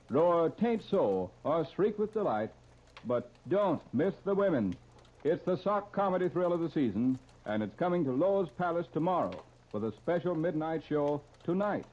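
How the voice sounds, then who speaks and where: An American man announcing and reading ads on 1930s radio with a polished, punchy showman's delivery. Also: a little high. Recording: dull lo-fi 1930s radio broadcast recording.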